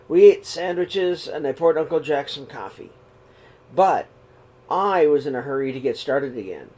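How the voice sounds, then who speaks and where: A middle-aged man reading a children's picture book aloud in a small room, slow and expressive. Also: on the high side. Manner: reads slowly and expressively, voices the characters' calls. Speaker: storybook reader. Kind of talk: read-aloud storytelling for children.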